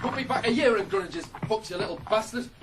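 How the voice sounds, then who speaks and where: A man's voice: sits high, quick pace, background noise, natural voice. A young male actor playing a blunt, hard-working farmhand in a radio drama. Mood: furious. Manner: blunt, plain-spoken. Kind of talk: 1980s radio drama acting.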